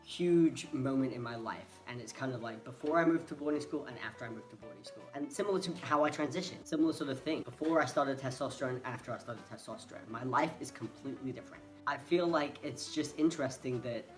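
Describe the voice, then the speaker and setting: A man's voice: mid-range voice, fast, hardly any pauses, poor audio. A young man from Hong Kong, talking casually to camera in a hesitant, rambling way and often restarting. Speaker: vlogger. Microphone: phone. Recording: phone recording with faint music behind.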